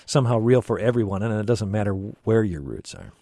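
A man's voice: lowish voice, sing-song, fairly quick, gravelly, dark tone, dry recording. A man hosting a radio call-in interview from a studio, reading an introduction and asking questions hesitantly, with many ums and restarts.

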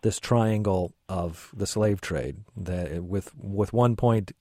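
A man hosts a radio call-in show from a studio, with a dark, mellow voice and a dry, close sound. He asks his questions hesitantly and fills them with ums and restarts. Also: low-pitched, takes it slowly, no room sound. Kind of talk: radio interview.

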